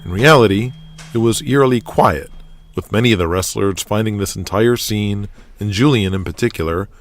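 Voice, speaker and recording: A man narrating a scripted audio drama with dramatic, measured storytelling. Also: lowish voice.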